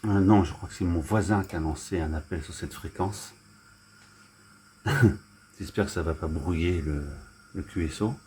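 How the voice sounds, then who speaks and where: A man's voice: low voice, moderate pace, pauses often, dark tone, a little hiss or hum. A man narrating as he listens in on a radio contact, chatty and thinking aloud. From France. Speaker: amateur radio listener commenting. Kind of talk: casual commentary. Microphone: smartphone filming near receiver.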